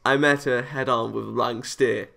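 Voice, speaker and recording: A man's voice: medium pitch, steady tone, normal speed, creaky, pushed and bright. A man reading a visual novel aloud for a let's play, playful and exclaiming, breaking into joking asides. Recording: music behind the voice. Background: visual novel game music.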